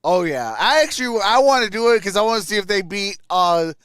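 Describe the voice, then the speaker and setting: A man's voice: high-pitched, expressive, a little fast, a bit bright, clean tone, dry recording, clean studio sound. A man hosting a live sports stream, giving casual reactions and commentary on the play.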